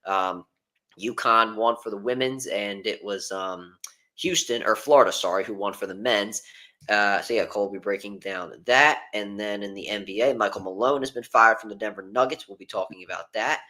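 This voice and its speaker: A man's voice: on the low side, normal speed, sharp tone. A young man hosting a casual sports podcast, rambling with lots of ums and uhs. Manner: rambling with many fillers. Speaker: podcast host.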